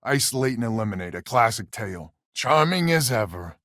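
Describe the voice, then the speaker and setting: Wry, animated space colonist. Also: male voice, medium pitch, brisk, no echo, studio quality. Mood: wry, dry. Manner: wry, folksy, sardonic. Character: wry, weary male space colonist, head of a settler family. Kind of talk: video game voice acting.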